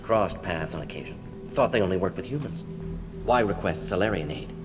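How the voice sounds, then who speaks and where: A man voicing a brisk, brainy, restless alien scientist in a video game, talking in clipped fragments as if thinking aloud. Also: low-pitched, lively intonation, in a large hall, over music. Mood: impatient, wary. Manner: clipped telegraphic sentences, muttering deductions aloud.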